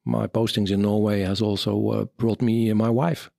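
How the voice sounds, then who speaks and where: A Dutch man in his fifties, an army officer answering interview questions in English with a Dutch accent, pausing often to search for words. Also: lowish voice, level tone, creaky, warm tone, no room sound, studio quality. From Netherlands. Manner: halting, searching for words, many fillers.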